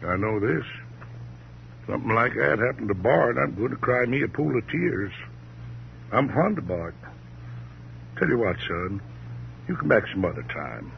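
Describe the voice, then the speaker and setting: An actor playing a sly, folksy uncle from out West in an old radio crime drama, drawling and repeating himself with cozy, mock-sweet familiarity. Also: male voice, mid-range voice, sing-song, normal speed, vocal fry, pauses often. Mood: wistful, smooth. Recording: muffled, hissy old radio broadcast.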